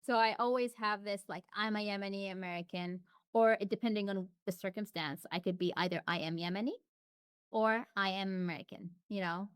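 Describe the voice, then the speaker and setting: A woman speaks as an interview guest in a relaxed, reflective conversation. Her voice is smooth and clear at an ordinary middle pitch, recorded close and dry. She often restarts her sentences and drops fillers as she thinks aloud. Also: fairly quick, dry recording. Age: adult. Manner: chatty, frequent restarts and fillers.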